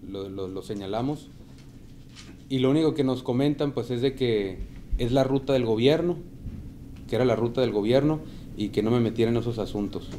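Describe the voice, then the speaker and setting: A man's voice: medium pitch, normal speed, pauses often, warm tone, a little hiss or hum. A man speaking at a press conference in a small meeting room, hesitant and often restarting. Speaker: dissident party member at a press conference.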